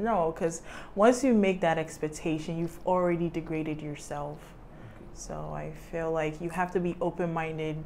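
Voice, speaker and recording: A woman's voice: deep voice, a little mellow, slight background noise. A young woman, born in Ghana and living in the United States, speaking candidly as a guest in a casual studio interview. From Ghana, raised in the United States. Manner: candid, laughs often, says "um" and "like". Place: studio set with screens, indoors.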